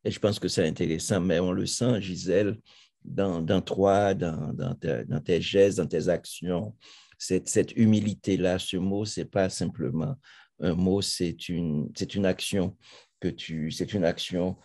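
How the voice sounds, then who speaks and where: Man, interviewer, very low voice, mellow tone, restarts phrases, searching for words. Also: steady tone, unhurried. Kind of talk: interview conversation.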